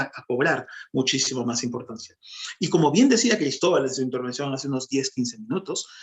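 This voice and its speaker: A man in his thirties from Peru with a Peruvian Spanish accent, speaking as a panelist in measured, explanatory remarks and repeating phrases for emphasis. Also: mid-range voice.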